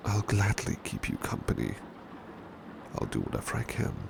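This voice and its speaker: A man playing a devoted, gentle British butler in a soft-spoken ASMR roleplay, courteous and formal, often slipping into a breathy whisper. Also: wildly swooping pitch, normal speed, full character voice. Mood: soothing, reassuring. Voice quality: very deep, gravelly, breathy whispery edge. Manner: gentle, courteous, old-fashioned formal phrasing. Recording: clear background noise, ambient rain sound. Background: rain ambience.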